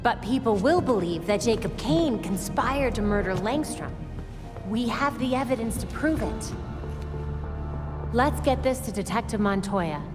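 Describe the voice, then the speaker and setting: A woman's voice: fairly high pitch, lively intonation, measured pace. A woman playing a focused, determined young vigilante investigator in a video game. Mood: resolute. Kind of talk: video game cutscene acting. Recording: reverberant hall, music underneath.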